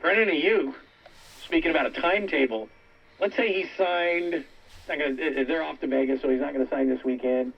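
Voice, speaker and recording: A man's voice: on the high side, a little fast, creaky, husky. A man talking sports on the radio, heard through the muffled speaker of a vintage set.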